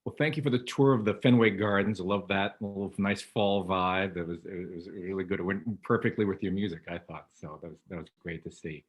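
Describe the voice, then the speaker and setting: A man's voice: sits low, fairly even intonation, brisk, few pauses, lo-fi sound. A man hosting a casual video call interview, rambling with many stumbling restarts. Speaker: host.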